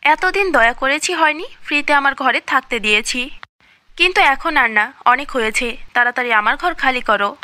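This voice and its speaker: A woman's voice: high voice, animated, normal speed, bright, forceful tone. A woman voicing a harsh, unfeeling landlady in a cartoon fairy tale, scolding and impatient.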